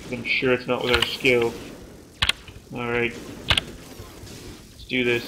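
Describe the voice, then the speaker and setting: A young man from Canada giving joking, self-mocking commentary over his own gameplay at home, now and then shouting at the screen. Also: medium pitch, completely flat delivery, slow, very noisy. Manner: joking, self-mocking, bursts of yelling at the game. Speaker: gaming YouTuber. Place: small room at home.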